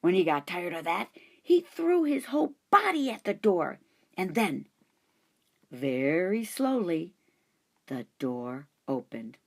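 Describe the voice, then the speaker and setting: A woman reading a children's chapter book aloud in an expressive storytelling manner. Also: fairly low pitch, slow pace. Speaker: audiobook reader. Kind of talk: children's audiobook narration.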